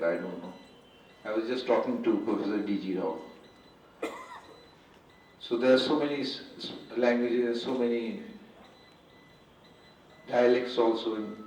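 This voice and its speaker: An Indian man whose first language is Urdu gives an unscripted formal address at a symposium. He speaks in a halting way and often restarts and corrects himself. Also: mid-range voice, a little mellow, a little hiss or hum. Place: large lecture hall. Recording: echoey hall, distant, muffled.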